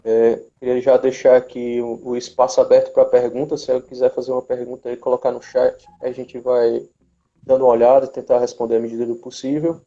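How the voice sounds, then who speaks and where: A man's voice: mid-range voice, level tone, a little fast, dark tone. A man, hosting a casual live chat in an informal, hesitant way on lo-fi audio. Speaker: host, business administrator. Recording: dull lo-fi live stream audio.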